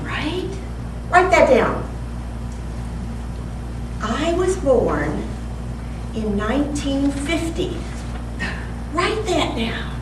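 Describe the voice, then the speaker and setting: A woman in her sixties giving a humorous prepared speech at a speaking club, playfully teasing and quizzing her audience. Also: sits high. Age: 60s. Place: club meeting room. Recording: echoey room from far off, faint hiss.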